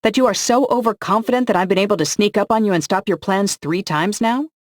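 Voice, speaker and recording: A woman's voice: medium pitch, fairly quick, no room sound, clean studio sound, pitched above the performer's own voice, lightly acted. A man voicing a tough, sarcastic young woman warrior in an audiobook, wry and bold. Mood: defiant. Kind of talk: audiobook dialogue.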